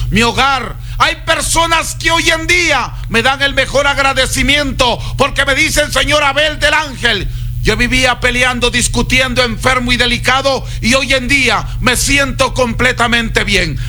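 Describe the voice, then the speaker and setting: High-pitched Peruvian man pitching emphatically. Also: pushed and bright. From Peru. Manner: emphatic, incantatory lists in short phrases. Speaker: folk healer hosting his own radio programme. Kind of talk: radio sales pitch, direct address to listeners.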